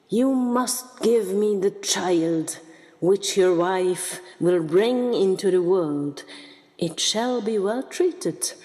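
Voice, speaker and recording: A woman voicing a commanding, wrathful old enchantress in a storybook, with dramatic, drawn-out delivery. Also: on the low side, a little mellow. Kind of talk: storybook character reading. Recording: reverberant hall with music behind.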